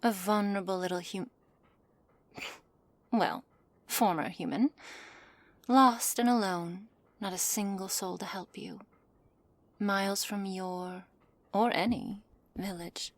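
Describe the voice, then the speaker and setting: A woman playing a haughty, condescending witch in an ASMR roleplay, speaking softly in measured, formal phrases with a commanding manner. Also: mid-range voice, steady tone, no echo. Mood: musing, cool. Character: cold, haughty witch, imperious captor of a werewolf. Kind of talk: ASMR roleplay, scripted character acting. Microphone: close studio mic, dry.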